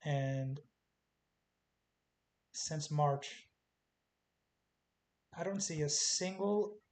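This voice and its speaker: A man talking casually through stock charts in short, clipped calls, his voice going hoarse as he says he is losing it. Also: a little high, drawn-out words, a little mellow. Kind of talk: casual screen-share chart commentary. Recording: faint hiss.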